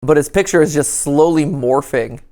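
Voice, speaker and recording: A man's voice: a little high, normal speed, darkish tone. A man hosting a casual reaction show, commenting in a playful, joking manner. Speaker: host.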